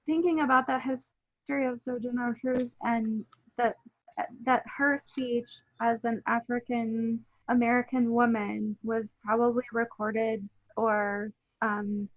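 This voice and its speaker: A woman's voice: fairly high pitch, creaky, no room sound. A woman host moderating a video-call talk, asking long thoughtful questions with frequent ums. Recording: dull lo-fi sound.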